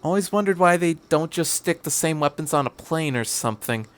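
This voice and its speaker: A man's voice: on the high side, animated, a little fast, pitched above the performer's own voice, close to an everyday voice. A man voicing a dry, cynical salaryman lawyer in a story reading, matter-of-fact and gossipy.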